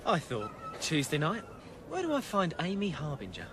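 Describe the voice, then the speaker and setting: A lively, higher-voiced young crook. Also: male voice, moderate pace, noisy background. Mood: guarded, smooth. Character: image-conscious young male crook doing business, guarded. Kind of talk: 1990s radio thriller acting. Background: dog track crowd.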